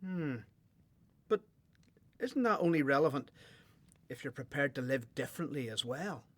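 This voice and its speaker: A man's voice: medium pitch, expressive, moderate pace, lightly acted. A young man playing an earnest, polite, questioning young prophet in a religious radio drama. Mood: curious, probing. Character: young John the Baptist, earnest, searching, polite newcomer.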